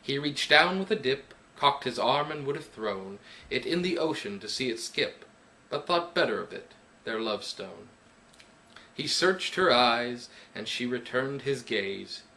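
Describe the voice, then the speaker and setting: A man reading his own poetry in a dramatic narrating style, with measured, emphatic phrasing and pauses. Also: medium pitch, lively intonation, vocal fry, bright, forceful tone, slight background noise, rough recording. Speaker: poet reading own work.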